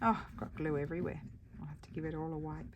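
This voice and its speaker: A woman with a croaky voice, chatting casually and thinking aloud as she makes paper crafts at her craft table. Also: sits low, flat delivery, quick pace, noisy background. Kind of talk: casual crafting chat while working.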